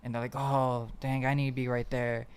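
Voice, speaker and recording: A man's voice: medium pitch, little pitch movement, clean tone. A man in his twenties chatting casually on a podcast, slangy and loose, with lots of "like". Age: 20s. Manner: slangy, fast, repeats "like" often.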